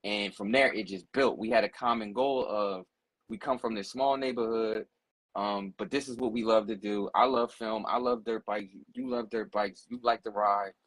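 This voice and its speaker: Husky-voiced man in his forties. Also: fairly low pitch, fairly even intonation, moderate pace, a bit bright, no echo, low-quality recording. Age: 40s. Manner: blunt, profane, storytelling. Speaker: guest telling his life story. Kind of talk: casual conversation.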